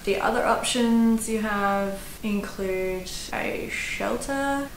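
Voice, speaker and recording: A woman's voice: medium pitch, takes it slowly. An Australian woman in her thirties giving casual, practical hiking-gear advice to camera, chatty with fillers, recorded in a small room. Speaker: hiking vlogger. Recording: roomy echo, faint background noise.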